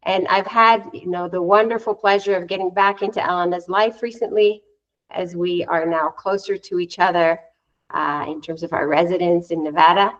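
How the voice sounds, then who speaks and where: A woman's voice: fairly low pitch, steady tone, normal speed, fairly bright tone, lo-fi sound. A woman hosting an interview, introducing her guest in a conversational way. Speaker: interview host.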